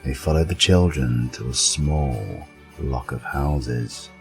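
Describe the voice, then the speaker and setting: A man's voice: a bass voice, slow pace, gravelly, a little mellow, faint music behind, exaggerated voice. A man narrating a scripted Christmas tale in storybook style. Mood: measured storytelling.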